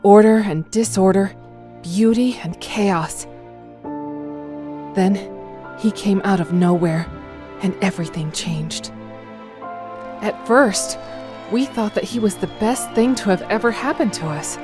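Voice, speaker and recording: A woman voicing a steady, serious underground rebel, telling things in a measured way in a comic dub. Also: mid-range voice, close to an everyday voice. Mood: grave. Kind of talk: amateur comic dub acting. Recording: music behind the voice.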